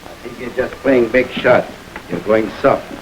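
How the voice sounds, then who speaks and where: An actor playing a surly, hot-tempered gangster who talks in a blunt, sneering, resentful way, in 1930s crime film style. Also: male voice, medium pitch, unhurried, noisy background, close to an everyday voice.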